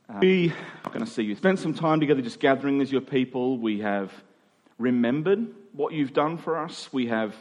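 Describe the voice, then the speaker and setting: A man speaks as a pastor in a conversational sermon. His voice sits at an average male pitch. He feels his way through sentences, rephrasing as he goes and repeating phrases to drive them home. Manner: halting, thinking aloud, with rephrasing and repetition for emphasis. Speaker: pastor, preacher.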